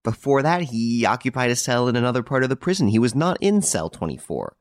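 A man putting on an unsure prison guard, raised pitch. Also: very animated, a little fast, no echo, studio quality. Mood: explaining. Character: hesitant, unsure male prison guard answering a judge. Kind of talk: storybook courtroom testimony.

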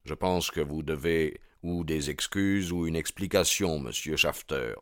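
A man's voice: very deep voice, a little slow, fairly bright tone, dry recording, clean studio sound. A man voicing a bold, ardent young man in an audiobook, proud and insistent in his delivery. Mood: indignant. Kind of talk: audiobook character dialogue.

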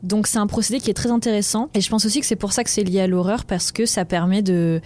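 A woman discusses cinema on a podcast with a warm, darker tone at ordinary pitch. She thinks aloud, pausing often as she builds her point. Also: fairly even intonation. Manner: thoughtful, many fillers. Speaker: film journalist.

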